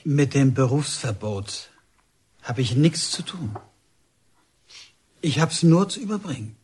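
An actor playing a dutiful, stubborn official in a radio drama, speaking stiffly in clipped, insistent phrases. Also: male voice, mid-range voice, lively intonation, slow pace, a little mellow, slightly rough, heavily acted. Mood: stiff, reluctant. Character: dutiful, stubborn male official delivering orders.

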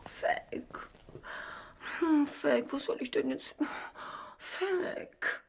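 An actress playing a volatile, seductive high-class Parisian prostitute in a radio drama, halting and wheedling. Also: female voice, gravelly, heavily acted. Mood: pleading, agitated. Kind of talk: intimate radio drama dialogue.

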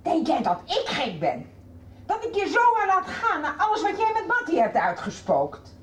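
A woman's voice: extremely high pitch, huge pitch swings, moderate pace, roomy sound, slight background noise, exaggerated voice. A woman playing an outraged, unyielding middle-aged mother in a radio soap, emphatic and insistent. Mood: indignant, accusing. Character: outraged, unyielding mother confronting her daughter's abuser.

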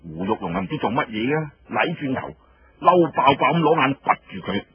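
A man with a Cantonese accent and a husky voice, narrating a story in colloquial, dramatic storyteller style. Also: medium pitch, lively intonation, normal speed, highs cut off, noisy background.

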